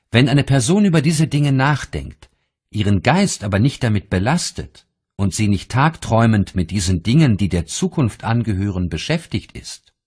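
A man narrating an audiobook of spiritual teachings in a measured, deliberate, solemn reading style. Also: lowish voice, a little mellow, breathy edge.

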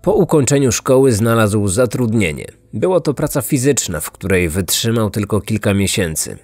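A man narrating a scripted true-crime podcast in measured, suspenseful phrases. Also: fairly low pitch, sing-song, a little mellow, no echo. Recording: dry studio voice over music. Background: background music.

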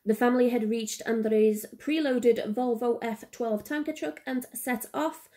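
A young adult woman hosting a missing-person series, reading scripted narration in a measured, earnest way. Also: a little high, warm tone. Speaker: true-crime podcast host.

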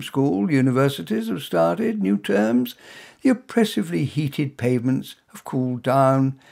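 A man's voice: a little high, vocal fry, mellow, breathy edge. A man reading his own first-person short story in a wry, self-mocking way.

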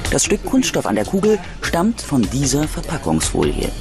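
A man narrating a scripted true-crime documentary in a dramatic, measured storytelling way. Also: a little high, huge pitch swings. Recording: music behind the voice. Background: background music.

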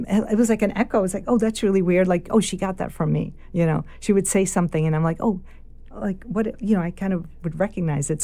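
New York woman, lively storyteller. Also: fairly low pitch. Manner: animated storyteller, quoting others aloud. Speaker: filmmaker guest. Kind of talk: casual interview chat.

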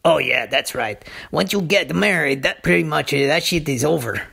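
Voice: mid-range voice, expressive, moderate pace, creaky, fairly bright tone, husky, heavily acted. A performer voicing a small, wary talking lizard in a comedy skit, repeating words and speaking in uncontracted phrases. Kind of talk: comedy puppet-style dialogue.

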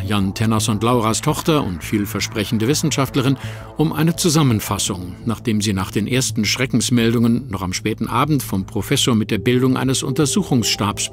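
A man narrating a radio play in a measured storytelling manner. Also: medium pitch. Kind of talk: scripted narration.

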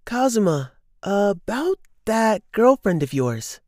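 A woman playing an excitable, gossipy teenage schoolboy in a manga dub. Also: medium pitch, slow pace, no echo, clean studio sound. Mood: teasing, curious.

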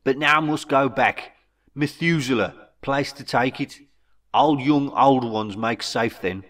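A man voicing an old, wise alien chief in a storybook, with a Brummy accent and slow, broken, clipped English. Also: medium pitch, fairly bright tone, distant mic, voice pushed up. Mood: solemn explaining. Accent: Brummy (Birmingham). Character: old wise alien chief, small orange hopping creature. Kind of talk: storybook character voice.

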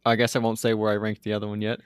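A man co-hosting a podcast, recapping a TV episode in casual, animated chat with mocking asides. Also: lowish voice, very fast, clean tone, no room sound, studio quality. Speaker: podcast co-host.

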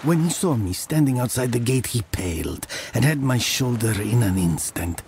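A man's voice: expressive, normal speed, a little mellow, a little hiss or hum, natural voice. A man acting as a brooding laborer who narrates his grim past in an audio drama, often dropping to a whisper. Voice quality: gravelly, low. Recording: music under the voice.

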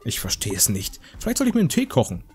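A man's voice: mid-range voice, huge pitch swings, fast, darkish tone, soft background music. A man giving casual, joking game commentary in a rambling, slangy, mock-indignant way. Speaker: gaming video host.

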